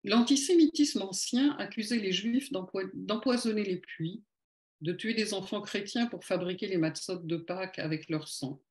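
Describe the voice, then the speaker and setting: A woman's voice: medium pitch, normal speed, darkish tone. A woman giving a polemical lecture at an evening meeting, with sardonic, ironic asides.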